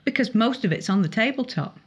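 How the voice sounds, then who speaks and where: A wry older teenage boy in a young adult story, put on by a woman narrator. He talks casually and bluntly, with dry teasing and plain frankness, in a slightly husky voice. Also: on the high side, lively intonation, brisk, lo-fi sound, pitched above the performer's own voice.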